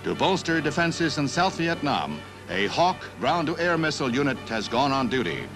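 American newsreel announcer over prominent music. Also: male voice, a little high, sing-song, normal speed. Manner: formal, declarative announcer delivery. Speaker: newsreel narrator. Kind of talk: 1960s newsreel narration, scripted. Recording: 1960s newsreel soundtrack with music.

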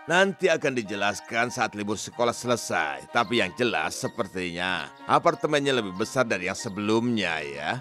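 A man voicing an older, gentlemanly bear school principal in a children's cartoon, explaining things in a measured, avuncular way. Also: fairly low pitch, very animated, faint music behind. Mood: calm, informative. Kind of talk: children's cartoon dub in Indonesian.

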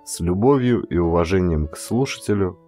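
A male audiobook reader addresses his audience directly. He speaks plainly and in a subdued way, lower than his narrating voice. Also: animated, a little fast, dark tone, soft background music. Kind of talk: channel support appeal to listeners.

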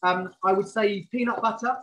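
A man's voice: sits high, fairly even intonation, normal speed, a bit bright. Guy chef doing a live cooking demo on video call, chatty explaining, standing in a kitchen. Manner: explanatory, informal. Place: professional kitchen, indoors. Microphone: video call, standing back from camera.

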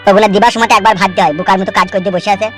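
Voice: medium pitch, fairly even intonation, racing, music in the background, close to an everyday voice. A performer voicing a scolding, streetwise young man in a comedy cartoon.

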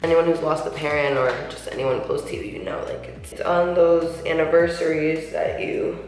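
A woman in her thirties chatting through a makeup tutorial, slightly nasal from a cold, with lots of "like" and soft asides. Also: low voice, gravelly. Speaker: beauty vlogger. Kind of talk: casual makeup tutorial chat. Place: large tiled bathroom, indoors. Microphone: camera mic at close range, seated facing camera. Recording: echoey bathroom with faint music.

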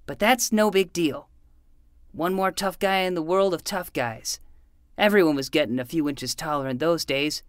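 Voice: medium pitch, moderate pace, clear, resonant tone, no room sound, close to an everyday voice. Actor voicing twelve-year-old boy, hard-boiled private eye patter, earnest, self-serious, fiction podcast, dry sound. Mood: brooding, self-serious. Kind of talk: fiction podcast acting with noir narration.

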